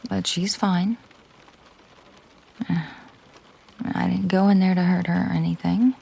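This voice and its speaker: A woman playing a possessive, commanding mafia boss girlfriend in an intimate roleplay audio drama, speaking softly and deliberately, often slipping into a whisper. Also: on the low side, steady tone, gravelly, breathy edge, exaggerated voice. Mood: dry, reassuring. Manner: slow, deliberate, coolly possessive.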